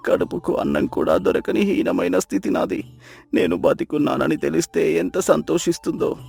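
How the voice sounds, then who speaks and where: A man's voice: on the high side, gravelly, a little mellow, husky, full character voice. A man voicing a broken, half-mad beggar in a melodramatic moral story, pitiful, emotional and wailing. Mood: sorrowful, resigned. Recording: music behind the voice.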